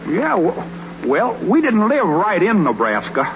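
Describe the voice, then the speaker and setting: An actor playing a naive, boastful young country baseball pitcher in a 1930s radio comedy, talking in a folksy, ungrammatical, earnest way. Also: male voice, wildly swooping pitch, measured pace, gravelly, music in the background, exaggerated voice. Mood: evasive, earnest. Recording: noisy muffled 1930s radio recording.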